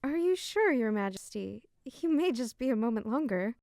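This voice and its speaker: A woman's voice: on the high side, sing-song, normal speed, a little mellow, dry recording, studio quality. A woman acting in an audio drama as an earnest, dutiful fairy queen who speaks in a formal, polite way. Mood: courtly, concerned.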